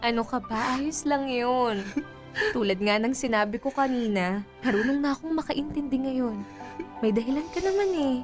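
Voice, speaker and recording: A woman's voice: high voice, moderate pace, close to an everyday voice. A woman playing a frank, cheerful 21-year-old daughter in a conversational radio drama, with a Filipino accent and a candid, teasing, reassuring manner. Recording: music bed under the dialogue.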